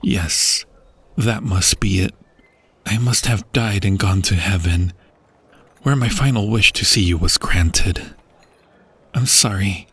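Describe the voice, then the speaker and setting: A man playing a dying, war-weary king and loving husband in a fantasy audio roleplay, husky, tender and broken, with archaic phrasing. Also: sits low, takes it slowly, pauses often, a bit bright, dry recording, heavily acted. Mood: broken, sorrowful. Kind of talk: fantasy ASMR roleplay acting.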